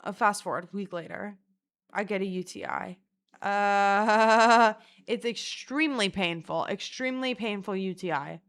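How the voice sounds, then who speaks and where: A woman vlogger talks straight to her viewers in a loose, rambling way. Her voice sits in a middle pitch, and her delivery is profane and self-mocking. She often bursts into loud laughter. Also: a little slow, clear, resonant tone. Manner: crude, profane, rambling storyteller. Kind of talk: casual storytelling vlog.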